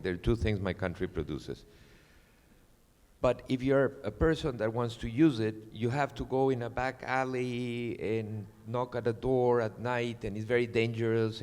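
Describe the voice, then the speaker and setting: A cinematographer, telling lively, joking stories at a festival talk. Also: male voice, on the low side. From Peru. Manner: animated, joking, mimics others' voices. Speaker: cinematographer, guest speaker. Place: large auditorium, indoors. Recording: long reverb of a large hall.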